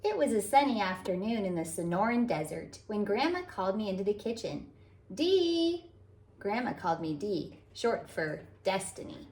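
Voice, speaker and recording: A woman hosting a read-aloud as a children's author, narrating a picture book in a deliberate, animated way for young children. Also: mid-range voice. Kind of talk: expressive children's storybook narration.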